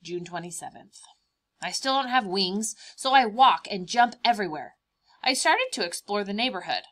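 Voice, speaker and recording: A woman voicing a cheerful, boastful young praying mantis in a picture-book read-aloud, expressive and emphatic, stretching out words. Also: medium pitch, normal speed. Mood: boastful, playful.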